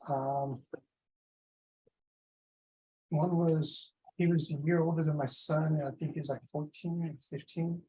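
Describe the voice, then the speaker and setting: A man's voice: a little high, steady tone, a little slow, pauses often, dark tone. A middle-aged American man, a defendant making a formal plea in a courtroom. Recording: clearly noisy courtroom recording.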